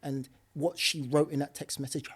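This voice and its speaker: A man's voice: fairly high pitch, steady tone, normal speed, fairly bright tone, slightly rough. A young man with slangy speech, a property investor talking animatedly on a podcast, repeating himself and laughing often. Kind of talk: casual podcast conversation.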